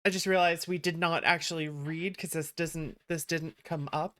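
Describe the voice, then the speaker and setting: Casual woman livestreamer with a lower-pitched voice. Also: steady tone, moderate pace, fairly bright tone. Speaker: livestreamer, VTuber host.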